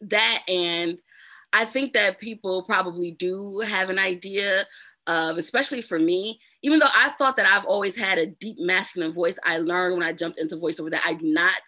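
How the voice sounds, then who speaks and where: A woman's voice: lowish voice, moderate pace, pushed and bright, no room sound. A woman with a New Jersey accent, a voice narrator talking casually as an interview guest, full of fillers and repeats. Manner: chatty, uses lots of fillers and repeats.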